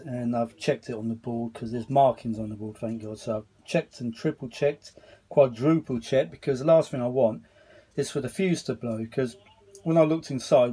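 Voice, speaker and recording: A man's voice: medium pitch, warm tone. A British man giving a casual, rambling repair commentary to camera, colloquial, with muttered asides and mild grumbling, recorded on a handheld phone. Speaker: hobbyist filming his repair of vintage audio gear. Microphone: handheld phone filming the work.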